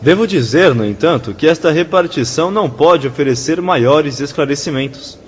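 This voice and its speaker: An actor playing a courteous, patient official in a radio drama, explaining things in a formal, measured, didactic way. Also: male voice, fairly high pitch, animated, long reverb tail, dull audio, slight background noise, close to an everyday voice. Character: courteous male spirit-world official patiently explaining procedures.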